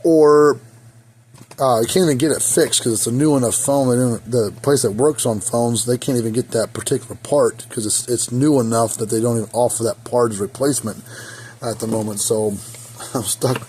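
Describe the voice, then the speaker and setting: Hoarse man talking through a repair. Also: medium pitch, fairly even intonation, normal speed, a little mellow, a little hiss or hum. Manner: rambling, thinking aloud while working with his hands. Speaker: repair technician. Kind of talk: casual workshop repair talk. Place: repair workshop. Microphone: phone camera.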